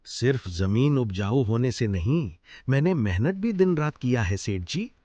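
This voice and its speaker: A man's voice: mid-range voice, animated, measured pace, dark tone, no echo. A man voicing a hardworking older village farmer and father in a cartoon story, who speaks in an earnest, pleading way.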